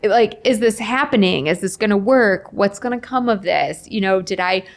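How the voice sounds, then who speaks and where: A middle-aged woman telling stories casually as a podcast guest, chatty and expressive, often voicing remembered dialogue. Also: medium pitch, moderate pace. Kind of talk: casual interview chat with animated storytelling.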